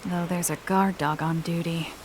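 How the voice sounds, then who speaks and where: A woman's voice: lowish voice, steady tone, moderate pace, background noise, natural voice. An actress playing a guarded, wry young woman thief in a video game. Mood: dry, wry.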